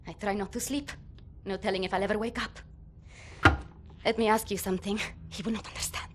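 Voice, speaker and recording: A woman's voice: medium pitch, steady tone, a little fast, a little hiss or hum, natural voice. A woman playing a sleepless, condescending intellectual in a video game, lecturing in a blunt, clinical way. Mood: intense, condescending. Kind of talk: dramatic video game acting.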